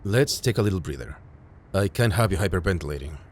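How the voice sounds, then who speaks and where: A man playing a calm, caring field medic who reassures a patient in an intimate audio roleplay. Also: deep voice, fast talker, slight background noise. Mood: caring, reassuring. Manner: gentle, reassuring, matter-of-fact, addressing a patient directly.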